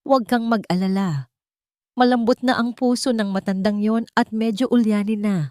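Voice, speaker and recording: A woman voicing a greedy, contemptuous daughter-in-law in a dramatic story, sharp and emphatic. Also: fairly high pitch, steady tone, normal speed, no echo, clean studio sound, voice pushed up, lightly acted. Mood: smug scheming.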